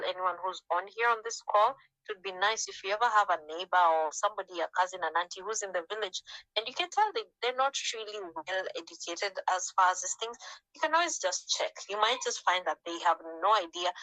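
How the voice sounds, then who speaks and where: Brief: woman from Africa, bright clear voice, dry close sound, conversational speaker on a group call. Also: lively intonation, a little fast, no room sound, low-quality recording. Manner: conversational, acts out quoted parents with jumps in pitch. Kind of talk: informal talk on a group call.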